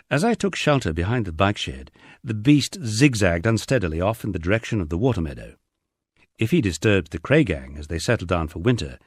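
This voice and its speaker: Male audiobook reader, low-pitched, lively, dry close sound, memoir storytelling. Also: no echo. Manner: measured, expressive storytelling. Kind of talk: first-person memoir narration.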